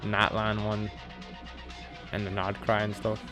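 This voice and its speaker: Male reaction host, low voice, casual and excitable, faint music underneath. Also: measured pace. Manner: excitable, chatty, hums along to music. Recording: music playing in background.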